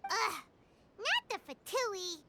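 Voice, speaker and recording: A woman voicing a small childlike fairy companion in a game, squeaky and excitable, with a dramatic, easily flustered manner. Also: slow pace, very noisy, full character voice. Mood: shocked, flustered. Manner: exclamatory, dramatic, stammering when shocked. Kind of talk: animated game voice acting, speaks in third person. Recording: loud music under the voice.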